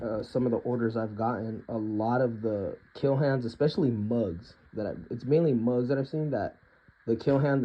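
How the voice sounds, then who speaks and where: A hoarse man talking informally and with strong opinions in an unscripted collector's review, often clearing his throat. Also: mid-range voice, dull audio. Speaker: hoodie collector reviewing products. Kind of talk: casual unscripted compare-and-contrast review.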